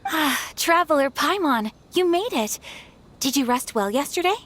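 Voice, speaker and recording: A young woman playing a gracious, modest noblewoman in a video game, with polite, formal and measured delivery. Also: high voice, lively intonation, fairly bright tone, a little hiss or hum. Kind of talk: animated game voice acting. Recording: music playing behind the voice.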